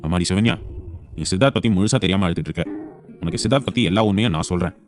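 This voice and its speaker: Man playing stern, fast-talking protective grandfather. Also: sits low, faint music behind, natural voice. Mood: firm, reassuring. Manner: authoritative, emphatic, reassuring to granddaughter. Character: stern, protective grandfather, indignant family elder. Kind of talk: audio serial drama acting.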